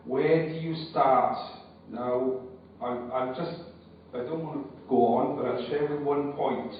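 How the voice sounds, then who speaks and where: A man's voice: mid-range voice, fairly even intonation, slow pace, slight background noise. A man speaking at a public meeting, hesitant and cautious, often repeating words. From Wales. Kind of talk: unscripted public speech. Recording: echoey hall, far from mic, dull sound.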